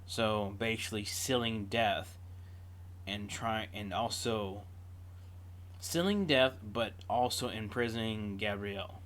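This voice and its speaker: A man's voice: lowish voice, takes it slowly, a bit bright. A man giving casual, off-the-cuff reaction commentary as a video host, drifting into digressive storytelling.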